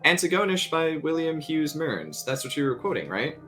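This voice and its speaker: A man's voice: fairly high pitch, very animated, moderate pace, pitched above the performer's own voice. A man reading the part of a tough, unimpressed female bartender in a creepy story, dry and casual. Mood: curious. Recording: music playing under the voice.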